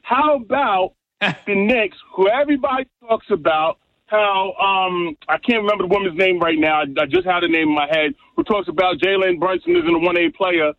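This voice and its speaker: A man with a New York accent calling in to a sports radio show and boasting loudly about his team. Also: sits high, lively intonation, moderate pace, no room sound. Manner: boastful, emphatic trash talk. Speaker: radio caller. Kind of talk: call-in sports rant. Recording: tinny dry phone line.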